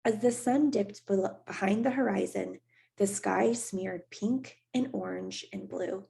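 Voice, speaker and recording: Slow, gravelly woman reading a story aloud. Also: mid-range voice. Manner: steady, unhurried reading voice. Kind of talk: read-aloud storybook narration.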